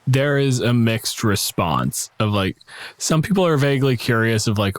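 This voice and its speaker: A man's voice: mid-range voice, very animated, moderate pace, no echo. A man working as game master, casually improvising narration for a tabletop game.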